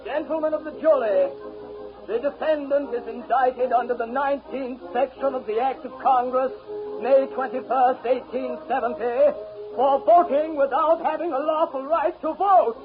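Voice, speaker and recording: An actor playing an earnest, formal courtroom lawyer, declaiming in a 1930s radio drama. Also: male voice, very high voice, normal speed, music in the background. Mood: earnest, forceful. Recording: tinny 1930s radio recording.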